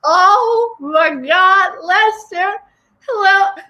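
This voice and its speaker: A melodramatic grandmother who keeps choking up as she gushes about family and faith, played by a woman. Her voice sits very high and bright. She drags every word out slowly. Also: full character voice. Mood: weepy gushing. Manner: tearful, gushing, melodramatic. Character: weepy gushing churchgoing grandmother woman. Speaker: comedy show guest.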